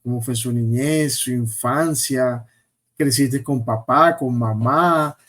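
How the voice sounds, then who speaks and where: A man's voice: medium pitch, takes it slowly, a bit bright. A man interviewing guests, asking friendly prompting questions about their past.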